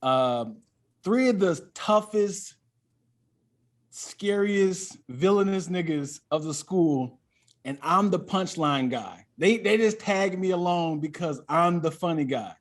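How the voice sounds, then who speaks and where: A male comedian with a high-pitched voice talks as a podcast guest. He tells things in a loose, comic storytelling style, animatedly voicing bits of dialogue as he goes. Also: takes it slowly. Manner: animated, acts out quoted dialogue.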